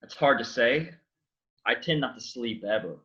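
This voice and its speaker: An actor playing an awkward, sheepish Lazarus in a staged comedy reading, hesitant and dry. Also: male voice, mid-range voice, moderate pace, sharp tone, muffled recording, close to an everyday voice. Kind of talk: staged reading of a comic play.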